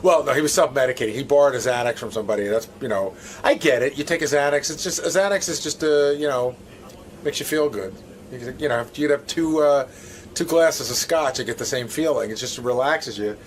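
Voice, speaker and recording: A man's voice: medium pitch, a little fast. A man giving casual, speculative interview answers, explaining things as he goes. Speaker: interviewed show staffer. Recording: faint background room noise.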